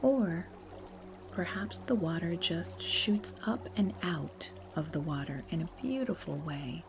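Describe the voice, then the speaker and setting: A woman leading a guided meditation in a soft, breathy, soothing and unhurried way. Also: lowish voice, lively intonation, background noise. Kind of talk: slow guided meditation narration. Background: meditation music.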